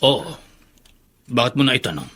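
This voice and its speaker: A man's voice: medium pitch, sing-song, moderate pace, bright, forceful tone, breathy edge, exaggerated voice. A man playing a curious, plain-spoken household gardener in a Filipino radio drama, casual and probing.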